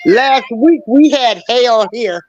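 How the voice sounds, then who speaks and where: Slow folksy woman co-host, dull dry line. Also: on the high side, lively intonation, darkish tone, no room sound. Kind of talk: casual chat.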